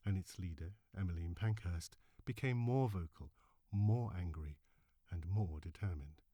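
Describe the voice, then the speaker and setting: Measured male narrator, dry recording. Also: lowish voice, a little mellow, dry recording. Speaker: narrator, reader. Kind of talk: scripted historical narration.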